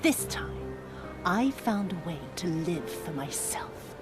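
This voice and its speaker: A woman's voice: lowish voice, big pitch swings, music in the background. An actress voicing a cruel, sadistic female spy villain in a video game cutscene, theatrically bitter and savoring each dramatic word. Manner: slow, dramatic, savoring words, dropping to whispers.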